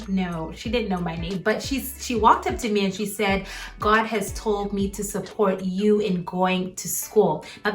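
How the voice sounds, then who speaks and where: A woman's voice: mid-range voice, a bit bright. A young woman from Uganda talking earnestly to camera in a faith vlog, with small laughs between her phrases.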